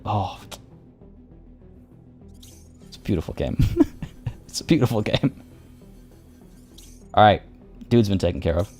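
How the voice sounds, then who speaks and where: A fairly low-voiced young man chats casually over his game, his voice slightly worn. He rambles, restarts sentences and fills pauses with "uh" and "like". Faint game music plays softly behind him. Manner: rambling, many restarts and fillers. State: voice getting worn. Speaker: streamer. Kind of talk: casual gameplay commentary.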